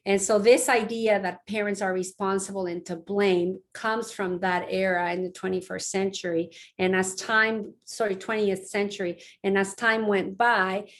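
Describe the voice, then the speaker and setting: Middle-aged woman from Mexico, psychologist, relaxed lecture delivery with frequent ums and restarts, mid pitch. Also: moderate pace, few pauses. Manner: conversational, many fillers and restarts. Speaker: psychologist giving a public talk. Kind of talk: lecture-style online talk. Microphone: online talk, close mic.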